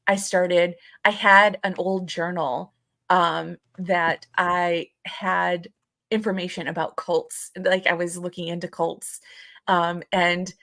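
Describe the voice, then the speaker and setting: A woman's voice: on the low side, a bit bright. A woman co-hosting a live podcast, chatting informally and hesitantly as she searches for her words. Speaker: podcast co-host. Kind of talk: casual live podcast chat.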